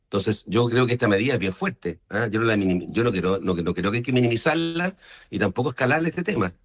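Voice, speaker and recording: A man's voice: on the low side, expressive, quick pace. A man from Chile with a Chilean accent, speaking as a senator in an interview and punctuating his points with short questioning tags. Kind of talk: political interview, explaining opinions.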